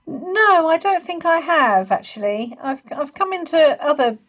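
A woman's voice: high-pitched, big pitch swings, unhurried, fairly bright tone. A woman hosting a casual interview, chatting and telling stories as a fellow stage performer. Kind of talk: casual chat and storytelling.

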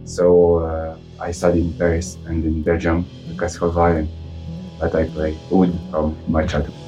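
Low-voiced Tunisian man, halting English. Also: completely flat delivery, warm tone, dull audio, soft background music. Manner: halting, simple non-native English. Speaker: musician interviewee, oud player and violinist. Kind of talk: casual interview talk.

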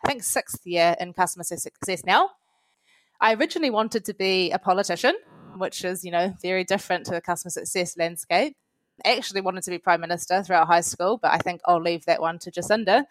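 A woman in her late twenties with a New Zealand accent talks as an interview guest. She is friendly and chatty and drops plenty of fillers. Her voice sits a bit low, and the sound is close and dry. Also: quick pace, no room sound. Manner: chatty, friendly, with many "you know" fillers. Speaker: guest, head of customer success. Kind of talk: casual interview answers.

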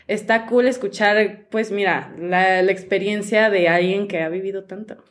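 A woman in her twenties hosting a podcast, talking quickly and casually with lots of fillers. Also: medium pitch, steady tone. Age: 20s. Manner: quick, chatty, lots of "o sea". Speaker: podcast host.

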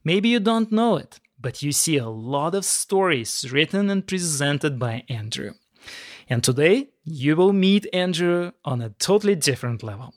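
A man with a voice pitched a little higher than average hosts an interview podcast. He addresses his audience directly, with a welcoming manner. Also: lively intonation, normal speed.

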